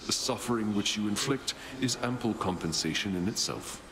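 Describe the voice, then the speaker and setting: A man voicing a bloodthirsty god of war in a video game, suave and formal with measured menace. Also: lowish voice, animated. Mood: pleased menace. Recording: clear noise behind the voice.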